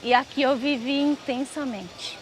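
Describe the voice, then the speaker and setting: A woman's voice: high-pitched, a little slow, slight background noise. A woman from Northeast Brazil gives a reflective, earnest testimony for a documentary. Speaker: interviewed resident and mother. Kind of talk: documentary interview.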